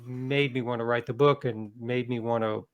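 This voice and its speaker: A man's voice: mid-range voice, steady tone, normal speed, highs cut off. A man speaking as an interviewed author, explaining ideas in a reflective, conversational way with small qualifying asides.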